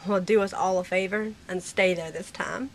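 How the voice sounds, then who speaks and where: A woman's voice: medium pitch, fairly even intonation, normal speed, lightly acted. A woman playing a tough, loyal sixteen-year-old girl in an amateur Western audio drama. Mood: dry, sassy.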